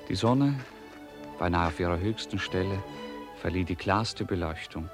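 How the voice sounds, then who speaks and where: A man narrating a literary radio play with measured, deliberate phrasing. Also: low voice, over music.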